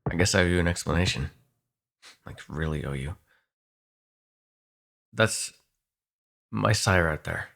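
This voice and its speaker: Man acting a low-voiced, protective vampire. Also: vocal fry, fairly bright tone, exaggerated voice. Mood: anxious, explaining. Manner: intimate, halting, swearing, sighing. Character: frantic, protective male vampire, foul-mouthed and sardonic. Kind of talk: ASMR audio roleplay acting.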